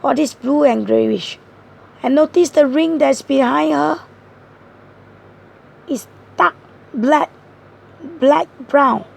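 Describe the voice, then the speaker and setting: A hoarse woman giving a tarot card reading, explaining each card point by point in an earnest way. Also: sits high, slow pace, pauses often, darkish tone. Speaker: tarot reader.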